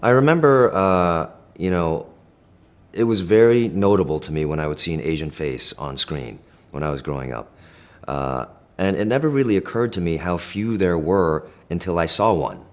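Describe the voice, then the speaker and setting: A middle-aged man, a native Korean speaker raised in the United States, giving thoughtful, measured interview answers with frequent fillers and restarts. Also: deep voice, expressive. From Korea, raised in Pennsylvania.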